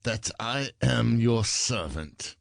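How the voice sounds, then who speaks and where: A man's voice: medium pitch, animated, takes it slowly, creaky, dry recording, studio quality. A man playing an aggrieved, stubborn common husband, indignant and grudging, in a classic comedy audio play.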